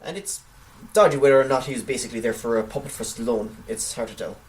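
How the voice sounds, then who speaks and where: A man's voice: mid-range voice, quick pace. A man co-hosting a casual film chat podcast, hesitant and often repeating words as he forms his point. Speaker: podcast co-host. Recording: voice slightly distant from microphone.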